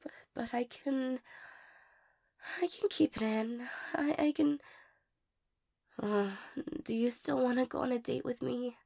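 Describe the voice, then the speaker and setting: A young woman playing a shy, insecure, tearful girl in an intimate romantic audio roleplay, hesitant, stammering and apologetic, with a breathy, creaky voice. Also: a little high, measured pace, pauses often, darkish tone. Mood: nervous, embarrassed.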